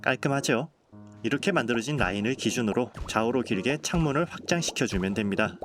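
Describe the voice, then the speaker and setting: Calm man narrating over bright music. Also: medium pitch, fairly quick. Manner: calm, polite explanatory tone. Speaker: game building vlogger. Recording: voice-over with clear background music.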